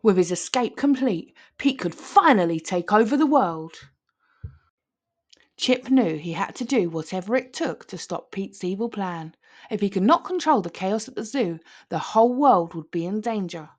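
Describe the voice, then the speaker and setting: Animated woman reading a children's story. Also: mid-range voice, moderate pace. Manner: theatrical, with stretched words for emphasis. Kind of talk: dramatic read-aloud of a picture book.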